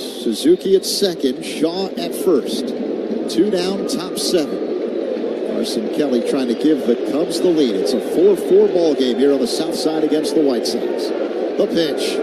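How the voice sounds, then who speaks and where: A man's voice: fairly high pitch, unhurried. A man calling live baseball play-by-play on the radio in clipped phrases that build with the action. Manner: clipped phrases, builds to shouts on big plays. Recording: loud constant stadium noise behind.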